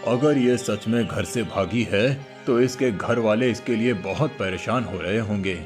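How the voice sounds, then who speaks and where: A man's voice: lowish voice, unhurried, close to an everyday voice. A man voicing a patient, fatherly old cowherd grandfather in a cartoon story. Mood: puzzled. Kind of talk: Hindi cartoon moral story dialogue. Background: music.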